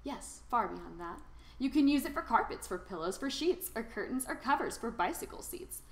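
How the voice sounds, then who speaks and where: Storybook old male hermit played by a woman, dramatic rhyming raconteur, highly expressive, roomy echo. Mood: defensive. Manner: dramatic, drawn-out storytelling in rhyme. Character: old, secretive male storybook hermit recounting his past. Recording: roomy, echoing sound.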